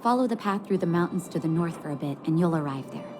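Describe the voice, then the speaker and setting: A woman voicing a gentle, composed doctor in a video game, speaking in a soothing, measured way. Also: fairly low pitch, animated, very noisy, natural voice. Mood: kindly, practical. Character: gentle, composed female doctor caring for an underground town. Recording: game music behind the voice. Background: music.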